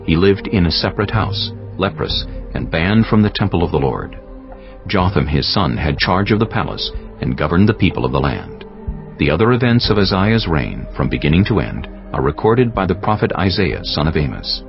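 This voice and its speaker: Casting: male audiobook narrator for scripture, deep bass, solemn and dramatic, expressive intonation, measured phrasing. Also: vocal fry, dull audio, faint music behind.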